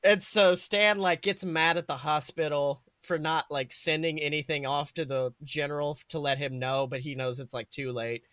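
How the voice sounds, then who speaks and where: A man's voice: a little high, steady tone, normal speed, few pauses, a bit bright, dry recording. A man co-hosting a podcast, improvising a comedic story in an informal, sweary way with dramatic build-ups. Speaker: podcast co-host.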